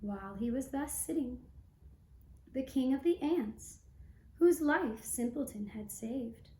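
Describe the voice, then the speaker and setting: A woman tells a fairy tale as a puppet show narrator. Her voice is high and her delivery slow and measured, pausing between phrases. The room adds a noticeable echo. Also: expressive, warm tone. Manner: measured, with long phrase breaks.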